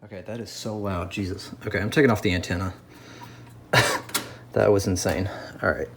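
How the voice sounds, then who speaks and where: A man's voice: deep voice, steady tone, a little fast, echoey room, noisy background. A man casually talking to himself while testing an antique radio, thinking aloud and easily amused.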